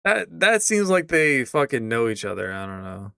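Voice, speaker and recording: A man's voice: medium pitch, sing-song, normal speed, vocal fry, pushed and bright, smooth, dry recording, studio quality. A man streaming his reactions to a video in blunt, incredulous casual talk, swearing freely.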